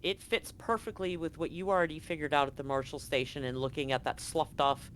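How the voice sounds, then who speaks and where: A woman's voice: deep voice, steady tone, brisk, rough recording. A woman game master improvising narration for a tabletop game, casually swearing, on a live stream with faint noise. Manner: casually profane.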